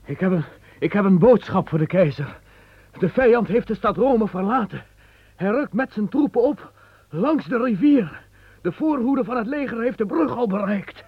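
An actor playing an exhausted, panting messenger bringing urgent news in an old radio play. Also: male voice, sits high, lively intonation, moderate pace, slightly rough, no echo, dull audio, full character voice. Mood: breathless. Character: breathless male messenger. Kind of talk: radio drama acting.

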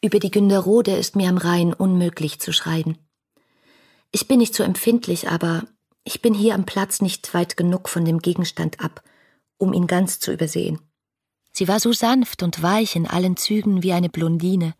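A fervent young romantic reciting letters in a radio drama. Her voice is husky and lowish, lyrical and intimate, with some music in the recording. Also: moderate pace, darkish tone. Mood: wistful. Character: passionate, dreamy young romantic woman writing letters. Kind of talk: German radio play, literary letter reading.

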